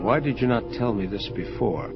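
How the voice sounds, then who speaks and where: An actor playing a probing, insistent angel investigator in an audio drama, terse and questioning in delivery. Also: male voice, fairly low pitch, lively intonation, moderate pace. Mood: stern, questioning. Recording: muffled lo-fi audio drama with music. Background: prominent music.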